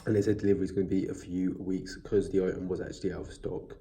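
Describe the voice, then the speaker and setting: Deep-voiced man with a cold. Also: little pitch movement, quick pace, dark tone, slight background noise. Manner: chatty, rambling, many fillers and restarts. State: stuffy from a cold. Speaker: boxing vlogger. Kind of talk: casual video commentary. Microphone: built-in device mic, no external mic.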